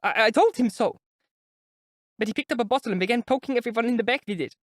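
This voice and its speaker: A reader voicing an excitable, indignant German landlady with a German accent, rattling out her complaints. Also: medium pitch, fast, no echo, pitched above the performer's own voice, lightly acted. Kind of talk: audiobook character voice.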